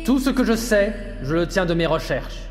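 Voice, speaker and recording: High-pitched fervent cult leader. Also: male voice, lively intonation, moderate pace. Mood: preaching. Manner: declamatory, chanting, commanding. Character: fervent cult leader in his thirties, solemn preacher. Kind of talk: French audio drama acting. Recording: loud music under the voice.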